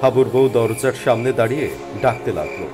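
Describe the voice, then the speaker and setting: A man narrating a scripted animated horror story in a dramatic storytelling style. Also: mid-range voice, fast, over music.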